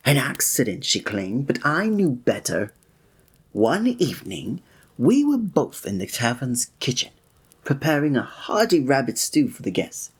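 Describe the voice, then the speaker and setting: Man doing flirty wry innkeeper, ASMR roleplay, breathy airy voice, mid pitch, formal olden speech, chuckles. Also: full character voice. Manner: formal old-fashioned wording with chuckling asides.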